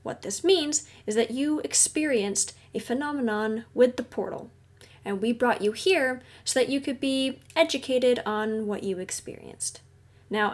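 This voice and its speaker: A woman playing a caring, reassuring counselor at a secret base in an audio roleplay, speaking gently and explaining things soothingly. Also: on the high side, close to an everyday voice. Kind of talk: audio roleplay addressed to the listener.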